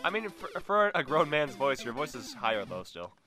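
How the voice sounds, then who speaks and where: A young man co-hosting a casual video game chat, talking quickly with lots of restarts. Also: faint music behind. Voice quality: deep bass voice. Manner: fast, animated, stumbling restarts. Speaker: co-host.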